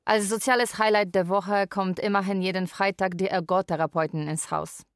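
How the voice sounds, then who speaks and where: An actress playing a lonely, wry Polish live-in carer, narrating a radio drama in a deliberate way. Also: female voice, mid-range voice, fairly even intonation, no echo, clean studio sound, natural voice. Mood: matter-of-fact.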